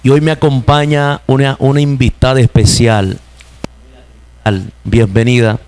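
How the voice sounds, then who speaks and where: A man, a pastor hosting an interview, calm and affirming. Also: medium pitch, measured pace.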